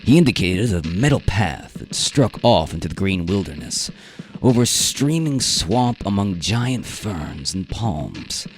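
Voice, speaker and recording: A man narrating a radio drama story in a deliberate, theatrical way with weighted pauses. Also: sits low, very animated, a little hiss or hum, rough recording. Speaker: narrator and performer.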